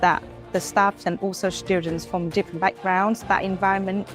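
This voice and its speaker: A woman student giving a measured promotional testimonial. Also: medium pitch, level tone. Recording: music bed behind voice.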